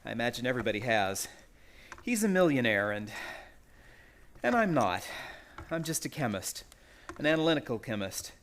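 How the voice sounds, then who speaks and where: A man's voice: medium pitch, very animated, fairly bright tone, slight background noise. A man playing an anxious, polite young chemist in a radio play, speaking in a hesitant, tormented way. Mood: weary confiding. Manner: hesitant, nervous, halting.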